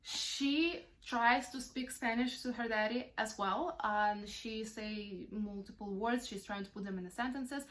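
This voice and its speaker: A young woman from Ukraine, a native Russian speaker, chatting casually as a vlogger and mother, answering questions with lots of ums and uhs. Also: medium pitch. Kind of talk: casual Q&A while wrapping gifts.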